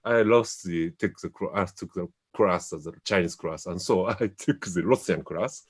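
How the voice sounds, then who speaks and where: A man from Japan, a native Japanese speaker with a Japanese accent, hosting a casual talk in English. He often pauses to search for the right English word. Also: deep voice, breathy edge. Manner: hesitant, searching for English words. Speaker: host. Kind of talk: casual chat in a second language.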